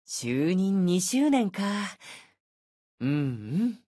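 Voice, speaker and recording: A man's voice: high-pitched, big pitch swings, drawn-out words, warm tone, no echo, studio quality, exaggerated voice. A man voicing an easygoing, unfazed ancient sword spirit in youthful male form for a game, with languid, nonchalant phrasing. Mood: mildly encouraging. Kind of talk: Japanese game character voice acting.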